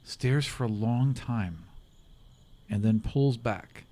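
Guy running a tabletop game, middle aged, takes his time, stops and starts, medium pitch. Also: dark tone. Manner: halting, many pauses mid-sentence. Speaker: game master. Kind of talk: improvised tabletop game narration. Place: small room, around a table. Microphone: individual table microphone, close.

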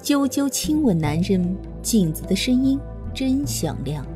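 A woman narrates a novel in a high, very animated voice. Her storytelling is scripted and moves freely up and down in pitch. Faint music plays behind her. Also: measured pace. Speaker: audiobook narrator.

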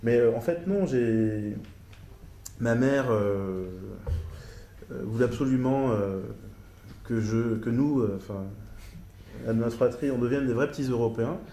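A man's voice: medium pitch, unhurried, long pauses, warm tone. A middle-aged man, a psychiatrist, speaking at a conference panel, hesitant with many fillers and careful over foreign names. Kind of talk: academic conference talk and discussion. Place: large lecture hall. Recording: echoey hall with faint noise.

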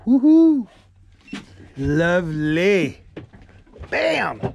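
A middle-aged man giving excited casual commentary while fishing from a small boat at sea, recorded on a head-mounted action camera. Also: sits high, extremely slow, gravelly, highs cut off. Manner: excitable, cheers and shouts "bam" at catches. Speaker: angler vlogger. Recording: loud wind and boat noise.

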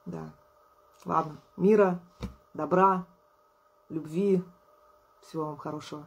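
A woman in her thirties, an artist vlogger, chatting casually to camera at a table indoors, tired and low on energy but friendly, laughing often. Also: fairly even intonation, takes it slowly, slightly rough. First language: Russian. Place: indoors at a table, small room.

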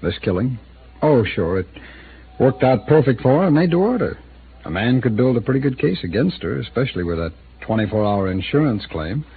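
A guarded small-town city attorney. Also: male voice, medium pitch, very animated, brisk, husky, full character voice. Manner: guarded, hesitant, knowing. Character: smooth evasive male city attorney, middle-aged. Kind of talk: 1950s radio drama acting. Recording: tinny lo-fi old radio broadcast with hiss.

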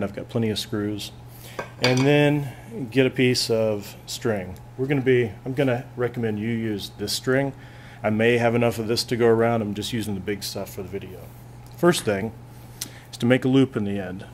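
A man's voice: fairly low pitch, slight background noise. A male physics teacher at a classroom lab bench, plainly talking through a hands-on demonstration and thinking aloud as he goes.

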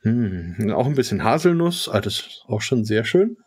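Male podcast host, relaxed and descriptive, savors each word. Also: on the low side, moderate pace, slightly rough. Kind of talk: beer tasting interview.

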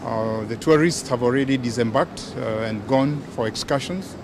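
Man, Kenyan accent, port official, measured, fillers, outdoor pier, clear background noise. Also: mid-range voice. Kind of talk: press statement. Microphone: bunch of handheld press mics.

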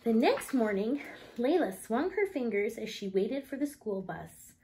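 Woman, 30s, storybook read-aloud; high, lively intonation, expressive and emphatic. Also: unhurried, slight background noise. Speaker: teacher reading aloud. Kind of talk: children's picture book read-aloud.

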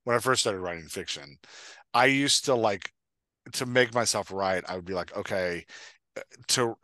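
An American man in his forties chatting casually as a podcast host, telling stories in an animated way and voicing his own thoughts. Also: lowish voice, moderate pace, dry recording. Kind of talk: casual Q&A chat. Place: indoor room at home, on a video call. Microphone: headset microphone.

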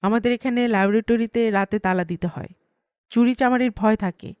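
Woman voicing a calm, firm female department head. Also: fairly high pitch, moderate pace, clean tone, dry recording, studio quality, close to an everyday voice. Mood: controlled firmness.

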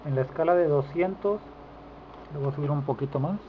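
A man's voice: fairly high pitch, fairly quick. A man giving an informal hands-on demonstration as a repair technician, recorded on a handheld camera indoors. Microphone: handheld camera microphone. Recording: dull lo-fi camera audio, clear background noise.

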